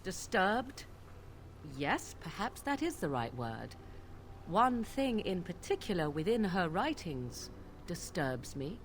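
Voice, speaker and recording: An actress voicing a proud, guarded young witch in a fantasy game, with formal, measured, archaic phrasing. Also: lowish voice, big pitch swings, slight background noise. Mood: troubled.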